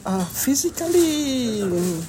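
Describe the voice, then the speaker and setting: A woman from Italy, answering slowly and hesitantly as she searches for English words. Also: fairly high pitch, very animated, crowd noise, poor audio. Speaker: pilgrim interviewee.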